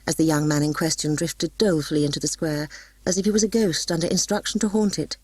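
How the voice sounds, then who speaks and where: Female audiobook narrator, deep-voiced and husky, expressive and theatrical with classic tales, very dry close sound. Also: fast, no room sound. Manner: dramatic, expressive storytelling. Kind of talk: scripted storybook narration.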